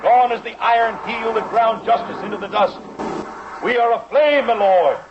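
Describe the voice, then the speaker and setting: An earnest colonial American lawyer argues in a high, ringing register. He is formal, oratorical and defiant, in the style of 1950s radio drama, on a dull vintage broadcast recording. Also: normal speed, noisy background. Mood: impassioned, defiant.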